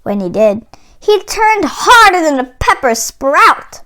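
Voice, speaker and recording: High-pitched woman reading a storybook. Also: big pitch swings, slow pace, pushed and bright. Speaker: children's book reader. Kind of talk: expressive scripted storybook narration.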